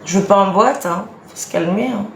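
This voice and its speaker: A woman in her late thirties with a Franc-Comtois accent, her voice carrying a gravelly edge. She chats emphatically with viewers in an echoey room at home, with a faint hiss behind her. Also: mid-range voice, a little fast. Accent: Franc-Comtois French. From Franche-Comté, eastern France. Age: late 30s. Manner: chatty, emphatic, exasperated retorts. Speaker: live stream host, mother of a large family. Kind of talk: casual live stream chat, answering viewer comments.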